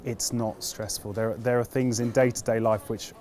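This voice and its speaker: A British man giving reflective interview answers as an air traffic controller, in a measured, understated, explanatory manner. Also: medium pitch, little pitch movement, a little mellow.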